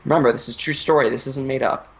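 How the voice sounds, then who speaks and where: A man improvising a joke story in a halting, stammering way while he draws, swearing often. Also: mid-range voice, steady tone, gravelly. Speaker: storyteller, host.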